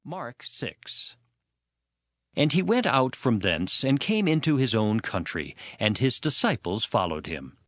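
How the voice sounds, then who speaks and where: A man narrating a dramatized scripture production with measured, expressive storytelling. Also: medium pitch, no room sound, studio quality. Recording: music behind the voice. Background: prominent background music.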